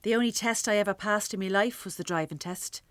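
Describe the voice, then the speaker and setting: Noisy background, Irish accent, woman acting feisty tired mother, mid-pitched, blunt and wry, radio play. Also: brisk, natural voice. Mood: wistful. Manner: wry, blunt, earthy talk. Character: weary, feisty, sharp-tongued working-class Irish mother. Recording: clearly noisy, traffic and ambience behind.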